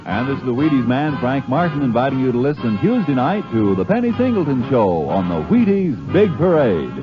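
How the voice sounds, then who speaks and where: An American man working as a commercial announcer, delivering a 1950s radio advertising pitch. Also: sing-song, fairly quick, over music. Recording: dull vintage 1950s radio recording.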